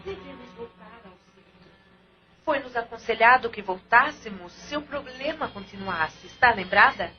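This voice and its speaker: Actress as an earnest, higher-voiced female counsellor. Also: lively intonation, normal speed, lightly acted. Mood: reassuring. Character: earnest, caring sister-in-law giving spiritual counsel. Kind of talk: audio drama acting. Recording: muffled lo-fi audio with music.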